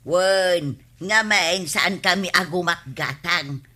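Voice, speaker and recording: A woman's voice: on the low side, takes it slowly, bright, forceful tone, heavily acted. A woman playing a cheeky, thrifty village neighbor in an Ilocano comedy radio drama, exclaiming and drawing out her banter in an Ilocano accent. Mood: breezy, scheming.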